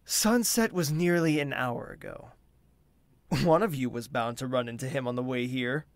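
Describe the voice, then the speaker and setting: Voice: medium pitch, moderate pace, dry recording, natural voice. Haughty young prince, performed by an actor: curt, imperious, formal audio drama delivery in a dry recording. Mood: curt, displeased.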